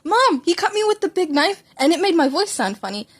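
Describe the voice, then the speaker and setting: Woman voicing an excitable little boy, high-pitched. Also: a little fast. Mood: excited. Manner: breathless, eager, run-on childlike phrasing. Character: excited little boy chatting eagerly to his mother.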